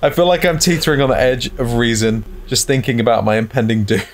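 A man's voice: mid-range voice, fast talker, slight background noise. A man co-hosting a let's-play, speaking in an informal, conversational way. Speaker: gaming show co-host. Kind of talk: casual let's-play chat.

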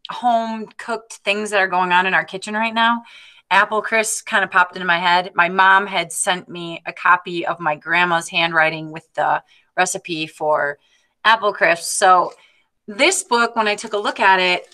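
A woman in her forties casually presenting a book on a video call, halting a little as she thinks aloud. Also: medium pitch, pushed and bright. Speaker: participant in a read-aloud discussion group. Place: indoor room, on a video call. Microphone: computer on a video call, close to the screen.

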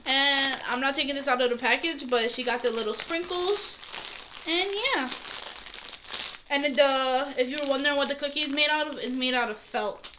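A woman's voice: high-pitched, normal speed. A young woman chatting casually and playfully as she shows off a shopping haul on camera in a small room. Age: young adult. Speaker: vlogger showing a shopping haul. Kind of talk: casual unboxing chat. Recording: noisy room with handling clicks.

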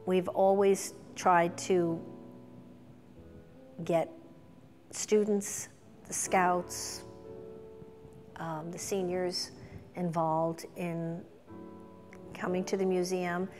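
A woman's voice: fairly low pitch, darkish tone, in a large hall. A middle-aged woman speaking in a documentary interview as a museum chairperson, recorded indoors. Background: instrumental music.

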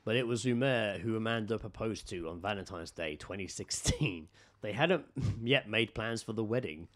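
A man's voice: on the low side, expressive, moderate pace, fairly bright tone. A man with a British accent chatting casually as a podcast host, jokey and sweary as he reads out odd news stories.

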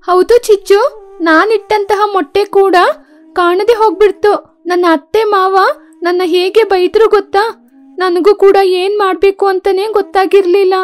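A woman's voice: very high voice, fairly even intonation, unhurried, faint music behind, pitched above the performer's own voice. A woman voicing a distressed young mother bird in a children's cartoon, speaking plaintively. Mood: upset. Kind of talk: children's cartoon story acting.

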